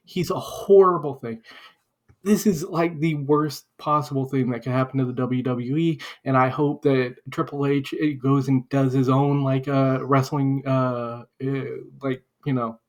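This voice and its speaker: A man's voice: medium pitch, steady tone, a little slow. A man co-hosting a podcast, arguing in a casual comedic debate with impassioned, profane ranting. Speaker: podcast co-host.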